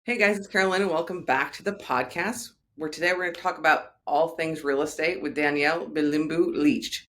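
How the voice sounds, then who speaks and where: A woman's voice: low-pitched, steady tone, fairly quick, fairly bright tone. A woman hosting a podcast, asking her guest short, friendly questions. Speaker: podcast host. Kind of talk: podcast interview.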